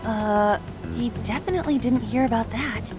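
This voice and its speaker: A woman's voice: a little high, moderate pace, lightly acted. A woman playing a candid, uneasy teenage girl in an English game dub. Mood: uneasy, doubtful. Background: music.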